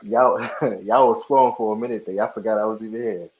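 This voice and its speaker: A young man from Ghana joins a casual online panel. His voice sits low, and his earnest, halting explanations come through a dull, lo-fi connection. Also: steady tone. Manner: earnest, restarts often while explaining. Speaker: panel guest. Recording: dull lo-fi audio.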